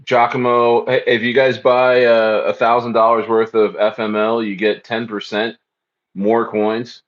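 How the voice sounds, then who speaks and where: A man's voice: medium pitch, level tone, unhurried, muffled recording. A man hosting a casual crypto livestream, speaking loosely and repeating phrases as he reads the chat.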